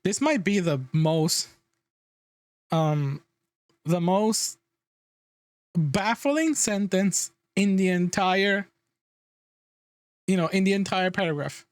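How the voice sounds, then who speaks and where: A man's voice: sits high, slow pace, pauses often, clear, resonant tone, no echo, clean studio sound. A man livestreaming a casual, exasperated reaction rant, emphatic and freely swearing. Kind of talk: casual ranting reaction commentary.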